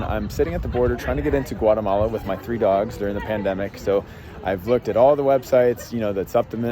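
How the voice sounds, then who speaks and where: A man's voice: mid-range voice, mellow. A man hosting a podcast, telling a long personal story in a rambling, casual way with many fillers and restarts. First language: English. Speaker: podcast host and life coach.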